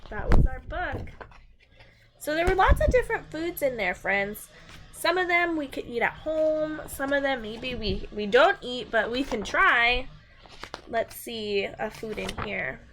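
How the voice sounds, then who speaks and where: A woman's voice: high voice, expressive, a little slow, background noise. A woman reading a picture book aloud to young children and chatting to them in simple, encouraging language.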